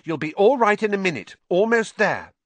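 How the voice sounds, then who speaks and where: A man's voice: high-pitched, very animated, moderate pace, no echo, voice pushed up. A man voicing an earnest, anxious and slightly bossy young schoolgirl. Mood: soothing.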